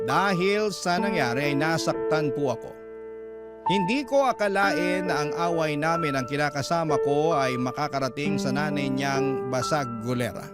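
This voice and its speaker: A woman's voice: deep voice, natural voice. A woman playing a hurt, wronged young Filipina partner, narrating her troubles in the first person as in a dramatic letter.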